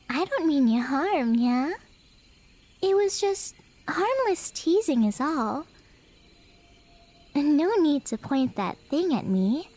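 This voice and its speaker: A woman's voice: high voice, a little mellow, full character voice. A woman playing a playful, teasing, clingy cat beastkin in a flirty ASMR roleplay, with a coy manner. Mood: nervous placating. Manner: coy, teasing, with drawn-out breathy whispers.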